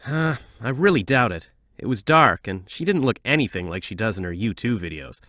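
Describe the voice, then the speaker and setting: Actor as hot-headed mayor's son, swinging intonation, defensive, petulant, dry audio play recording. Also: male voice, on the low side, big pitch swings, fairly quick, bright, forceful tone, dry recording, heavily acted. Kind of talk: audio drama acting.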